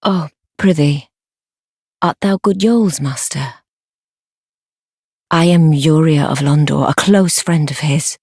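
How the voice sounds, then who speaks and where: A woman playing a sly, devoted noblewoman in a dark fantasy game, speaking formal archaic English in a low, breathy, measured voice. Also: dry recording, studio quality, exaggerated voice. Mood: courtly, grateful. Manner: measured, formal archaic speech, deferential yet commanding. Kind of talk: fantasy video game acting.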